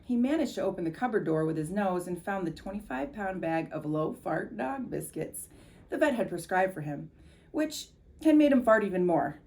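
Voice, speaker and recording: A woman reading a children's story aloud as a volunteer, with animated, emphatic delivery. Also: fairly low pitch, normal speed.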